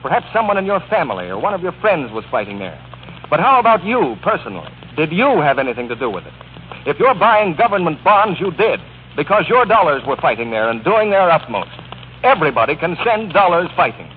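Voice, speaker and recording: A man's voice: fairly high pitch, sing-song, brisk. A man announcing on 1940s radio, delivering an earnest, rhetorical scripted appeal straight to the listeners. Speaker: radio announcer reading a war bond appeal. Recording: tinny, noisy 1940s radio broadcast.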